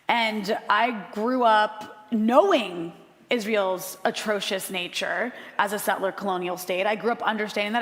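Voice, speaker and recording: A woman's voice: medium pitch, fairly bright tone, long reverb tail. A woman journalist speaking on a live panel, passionate and blunt, with frequent "um" fillers. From United States. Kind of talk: impassioned panel talk. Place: live panel in a room with an audience.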